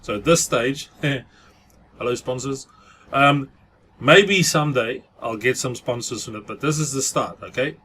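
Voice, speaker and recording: A man's voice: mid-range voice, unhurried, sharp tone, slightly rough. South African man chatting on his vlog. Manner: chatty, mixes in Afrikaans phrases. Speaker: vlogger. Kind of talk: casual vlog monologue.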